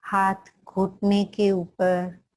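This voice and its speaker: An Indian-accented woman teaches a guided meditation at an extremely slow pace. Her voice sits at a medium pitch for a woman. She delivers short, deliberate instructions and leaves long pauses between phrases. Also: completely flat delivery.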